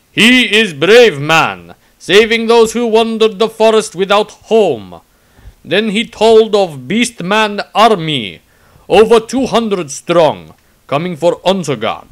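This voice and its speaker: Man acting a fierce foreign warrior, loud and forceful. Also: high-pitched, voice pushed up, full character voice. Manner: terse, broken grammar, forceful.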